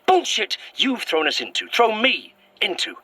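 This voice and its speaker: A man's voice: sits high, big pitch swings, moderate pace. A man playing a bitter, paranoid monster keeper in a horror audio drama, tense and accusatory, on a noisy outdoor recording. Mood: bitter, hushed. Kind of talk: found-footage horror audio drama acting.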